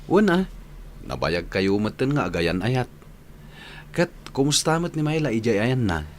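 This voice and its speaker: Casting: teasing Ilocano workmate in radio drama, animated intonation, faint noise behind him. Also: male voice, mid-range voice, unhurried. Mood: advising, friendly. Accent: Northern Philippine Ilocano. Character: teasing, advice-giving male workmate and buddy.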